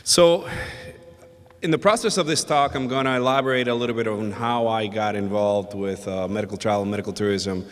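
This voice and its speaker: A man from the Dominican Republic giving a conference presentation, speaking in a measured, hesitant way with many filler sounds. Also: fairly low pitch. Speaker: invited conference speaker, emergency physician. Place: hotel ballroom at a summit. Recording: long reverb of a large ballroom.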